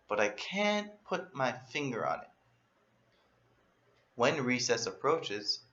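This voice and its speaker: A man reading a children's story aloud in an animated, expressive way, as a storyteller. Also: medium pitch, lo-fi sound. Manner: expressive, acts out emphasis and exclamations.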